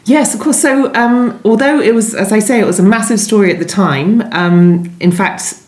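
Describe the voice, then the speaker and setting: A woman's voice: medium pitch, moderate pace, darkish tone. A woman giving conversational, discursive interview answers as a guest author, often rephrasing as she goes. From London, England. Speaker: guest novelist being interviewed. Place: indoors at home. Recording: echoey room.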